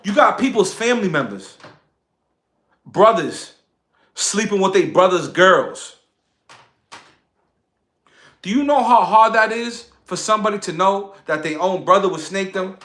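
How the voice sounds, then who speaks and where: A man's voice: high-pitched, animated, a little slow, pauses often, fairly bright tone. A man giving an impassioned, boastful livestream monologue, speaking emphatically and repeating phrases for effect. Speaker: social media content creator on a livestream.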